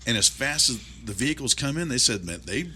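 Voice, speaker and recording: Guy hosting a casual interview, fast and bright voice, lively storyteller, some room noise behind. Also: medium pitch. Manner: colloquial, animated storytelling. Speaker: interview host.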